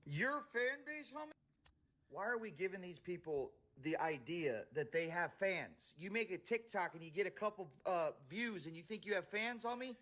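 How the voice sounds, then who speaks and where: A high-pitched man talking down to someone in a confrontational video rant. He sounds condescending and lecturing, and he keeps calling the listener homie. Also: animated, moderate pace, a bit bright.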